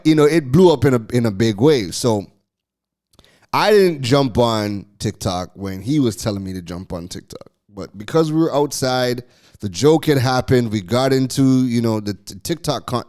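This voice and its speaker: A middle-aged man hosting a podcast, telling a casual story in an animated way, laughing often and restarting his phrases. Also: mid-range voice. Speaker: podcast host.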